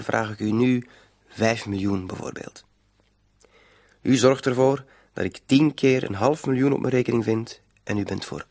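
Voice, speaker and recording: Radio drama: mock-courteous blackmailer, gravelly creak, mid pitch, greedy, quietly menacing. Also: male voice. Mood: smugly courteous. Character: smooth-talking, polite, greedy male blackmailer. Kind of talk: Dutch-language radio drama acting.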